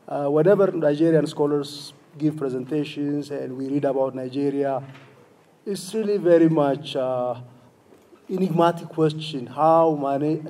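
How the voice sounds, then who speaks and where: A man from the floor poses a long question. He hesitates often and restarts. His voice sits a little high, with a warm, mellow tone. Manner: hesitant, many fillers and restarts. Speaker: audience questioner.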